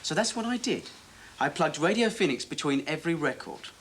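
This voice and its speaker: A quick-witted local radio DJ. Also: male voice, a little high, animated, a bit bright, slight background noise. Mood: stubborn. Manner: jokey patter, teasing. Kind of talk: 1980 TV drama acting.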